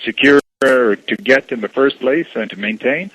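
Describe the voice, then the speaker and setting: A man on a phone call, answering casually as a church representative, in a measured, guarded, explanatory way. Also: medium pitch, a bit bright, slightly rough, no echo.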